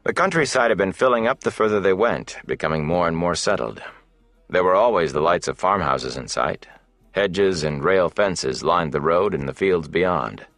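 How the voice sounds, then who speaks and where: Deep-voiced male fantasy audiobook narrator. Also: fairly bright tone, no echo. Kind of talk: scripted narration of a fantasy novel.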